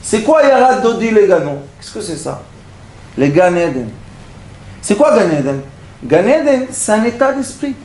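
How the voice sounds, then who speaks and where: High-voiced rabbi teaching in an echoey hall. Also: male voice, very animated, normal speed, a little mellow, slight background noise. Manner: storytelling, acts out little dialogues, rhetorical questions. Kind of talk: Torah lesson. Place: lecture hall. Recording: echoey room.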